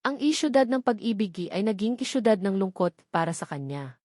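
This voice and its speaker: A woman's voice: medium pitch, big pitch swings, fairly quick, darkish tone, dry recording, clean studio sound. A woman with a Filipino accent reads a scripted romance story as its narrator, in a dramatic storytelling manner.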